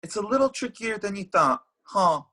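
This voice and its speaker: A man's voice: high-pitched, animated, moderate pace, pushed and bright, exaggerated voice. A man in a sitcom table read playing a lovelorn, cocky, needy matchmaker in a sardonic, conversational way.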